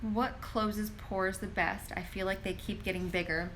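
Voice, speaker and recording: A woman with a bright, clear tone chats through a live Q&A, filling her answers with "like" and reading viewer questions aloud. She talks into a handheld phone, with a faint hiss underneath. Her pitch sits right in the middle for a woman. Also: fairly even intonation, a little slow, low-quality recording. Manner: chatty, frequent "like" fillers, reads questions aloud. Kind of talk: casual live Q&A. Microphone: phone held in hand.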